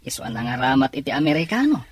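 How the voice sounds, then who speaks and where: An actor playing a blunt, consoling male drinking buddy in a drama, with a husky voice. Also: medium pitch, very animated, fast, background noise, close to an everyday voice. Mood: teasing sympathy. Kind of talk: Ilocano drama acting.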